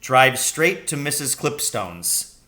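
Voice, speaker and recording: A man's voice: medium pitch, big pitch swings, takes it slowly, pushed and bright, heavily acted. A man voicing a proud, confident poacher father who speaks in an assured, instructive way in a storybook reading.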